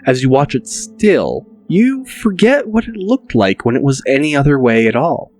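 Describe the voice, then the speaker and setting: A man narrating a dramatic audiobook in a deliberate, measured manner. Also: medium pitch, big pitch swings, a little mellow, faint music behind.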